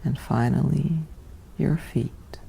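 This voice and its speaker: Woman doing sleep meditation, super slow n soft, lots of vocal fry, kinda whispery, faint noise behind. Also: rumbling low, low-quality recording. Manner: soft, hushed, breathy half-whispered delivery with long pauses. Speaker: narrator of sleep stories and guided meditations. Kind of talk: slow guided sleep meditation, soothing bedtime reading.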